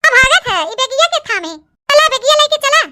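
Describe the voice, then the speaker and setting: A woman's voice: very high-pitched, animated, brisk, sharp tone, no echo, exaggerated voice. A woman voicing a stubborn, demanding young new wife in a comedy cartoon, scolding in an Awadhi accent. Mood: defiant, demanding. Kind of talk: exaggerated cartoon comedy dialogue.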